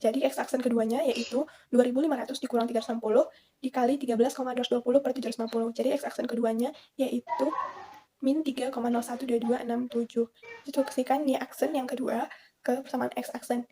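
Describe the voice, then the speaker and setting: A young woman from Indonesia, a student presenter, reading out the steps of a math solution and dictating long numbers in a brisk, even way. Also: on the high side, a little hiss or hum. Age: young adult.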